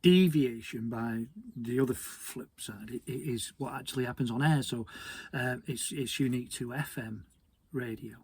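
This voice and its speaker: A British man giving an informal technical explainer in a conversational, hesitant way, often repeating words, with a husky, gravelly voice. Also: mid-range voice, normal speed. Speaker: hobby radio presenter.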